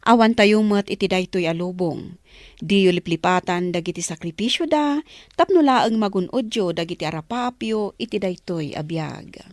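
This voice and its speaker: A woman's voice: lowish voice, animated, moderate pace, no room sound, clean studio sound. A woman with a Filipino accent announcing a radio drama in scripted narration and credits.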